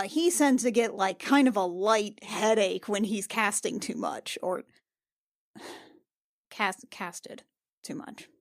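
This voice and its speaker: A woman acting out an awkward, protective fantasy warrior in a tabletop game. The speech is halting and wry, easily flustered, at a medium pitch with a close, dry sound. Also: no room sound, lightly acted.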